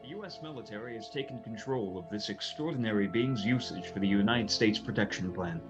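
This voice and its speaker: A man's voice: fairly low pitch, a little fast, highs cut off, lightly acted. A man playing an emphatic, patriotic news announcer in a fan-made superhero drama. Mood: proud, urgent. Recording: music behind the voice.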